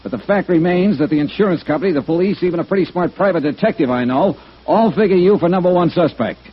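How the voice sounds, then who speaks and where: An actor playing a blunt, tough male insurance investigator, probing and brisk, in 1950s radio detective style. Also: high-pitched, slightly rough. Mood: stern, probing. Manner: blunt, probing, hard-boiled. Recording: muffled old radio broadcast recording.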